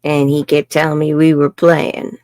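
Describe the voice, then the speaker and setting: A woman's voice: low-pitched, completely flat delivery, moderate pace, darkish tone, dry recording, clean studio sound. A woman, telling her life story frankly as an interview guest and laughing often. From New York. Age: 70s. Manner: frank and chatty, laughs often, says "bloody". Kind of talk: candid personal storytelling in an interview.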